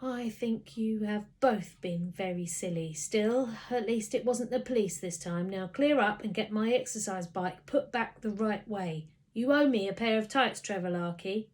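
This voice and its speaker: A woman's voice: mid-range voice, measured pace, hardly any pauses, a little mellow, lightly acted. Woman acting a dramatic, exasperated storybook mum. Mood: stern, exasperated. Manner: shrieking in panic, then sternly exasperated. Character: excitable, stern, dramatic mother of a boy.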